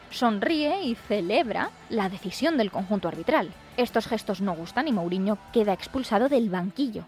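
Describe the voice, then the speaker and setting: A woman with an accent from Spain, presenting scripted sports storytelling. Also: a little high. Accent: Spanish, from Spain. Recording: loud music bed. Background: loud music.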